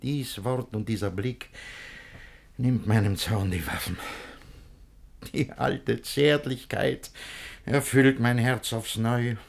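An actor playing a jealous, possessive older guardian in a classic radio play, declaiming verse theatrically. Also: male voice, fairly low pitch, gravelly, exaggerated voice. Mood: resigned, lovesick.

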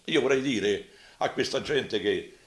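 An elderly man giving spontaneous testimony as a survivor, halting and repetitive but emphatic. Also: medium pitch, reverberant, slight background noise. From Rome, Italy. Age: elderly, over 80.

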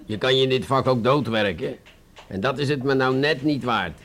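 Gravelly, world-weary police inspector, played by a man. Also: mid-range voice, a bit bright, natural voice. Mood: patronising. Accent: Netherlands Dutch. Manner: patronising, drawn-out deliberation with stammered hesitations. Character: world-weary, condescending male police inspector, unhurried and sardonic. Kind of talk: Dutch radio play acting.

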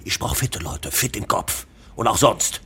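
A man's voice: lowish voice, expressive, unhurried, fairly bright tone, husky, full character voice. An actor in a radio play playing a hard-nosed, irate male boss, blunt and coarse in manner. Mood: furious, ranting.

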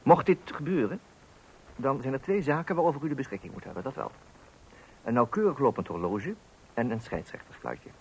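A man's voice: medium pitch, animated, fairly quick, darkish tone, husky, rough recording. A man playing a wry fatherly narrator in a radio play, addressing men with pompous mock solemnity on a hissy old recording. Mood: mock-solemn warning.

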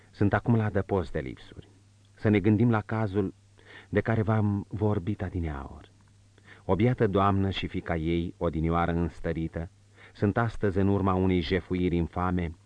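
A man voicing a calm, composed prince, low and measured, in a dull audiobook recording. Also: no echo, close to an everyday voice. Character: male prince, calm, gently commanding.

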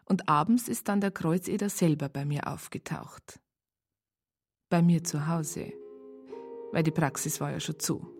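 An actress playing a reflective Bavarian woman who narrates a case in a radio drama, husky and measured. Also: deep voice, darkish tone, soft background music, exaggerated voice. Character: reflective female Bavarian practitioner recounting a case.

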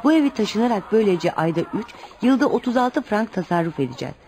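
A woman's voice: medium pitch, sing-song, fast talker, a little mellow, soft background music, close to an everyday voice. An actress in a radio play playing a poor, devout widowed mother, protective and anxious, who speaks in an earnest, instructive way. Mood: explaining, cautioning. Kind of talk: radio theater acting.

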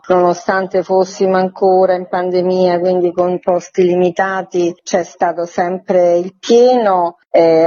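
A woman giving measured, formal explanations as an interview guest. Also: on the low side, mellow. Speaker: museum director, interview guest. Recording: slightly dull, lo-fi line.

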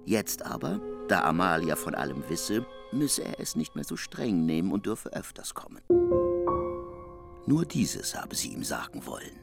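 Deep, gravelly man narrating with swooping intonation. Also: sing-song, measured pace, loud music behind the voice. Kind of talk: literary radio drama narration.

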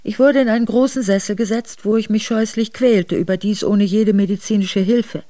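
A woman's voice: medium pitch, fairly even intonation, moderate pace, mellow, no echo, natural voice. Actress as a reflective aristocratic woman memoirist, close-up. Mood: troubled recollection. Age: middle-aged. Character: aristocratic older Russian woman recounting memoirs to her son. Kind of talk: intimate radio drama narration.

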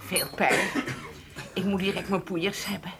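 A woman's voice: lowish voice, a little slow, very noisy. An actress playing a frail, plaintive old grandmother in a radio drama. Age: elderly. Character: frail old grandmother, plaintive, easily delighted, complaining of pain.

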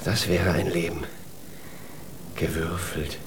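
A man playing a dreamy, skeptical husband in a children's radio drama, speaking in a gravelly, musing, half-whispered murmur. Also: low voice, steady tone, slow pace, slight background noise. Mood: dreamy, amused. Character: dreamy, skeptical husband called Papa, fond of whimsy.

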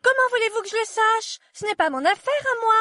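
A woman's voice: extremely high pitch, lively intonation, brisk, sharp tone, dry recording, clean studio sound, heavily acted. A woman voicing a bold, polite, inquisitive young storybook girl with a forthright manner. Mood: indignant.

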